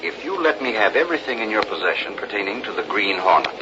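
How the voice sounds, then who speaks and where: A determined, confident American investigator in a vintage radio drama. His voice is low and swings widely in pitch, with formal, deliberate emphasis. The old recording is muffled and noisy. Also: big pitch swings. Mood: firm bargaining. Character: determined, confident one-man grand jury investigator, father. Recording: dull, noisy 1930s-40s radio recording.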